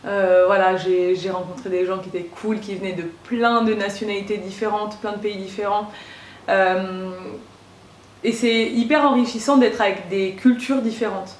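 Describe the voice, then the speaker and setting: A woman's voice: mid-range voice, fairly even intonation, normal speed, slight background noise. A young woman from Corsica telling a casual storytime, chatty and full of fillers and slang. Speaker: vlogger. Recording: roomy echo.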